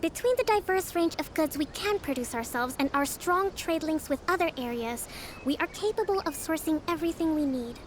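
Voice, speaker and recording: A calm young island leader. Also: female voice, high-pitched, background noise. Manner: calm, measured, diplomatic. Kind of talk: video game voice acting.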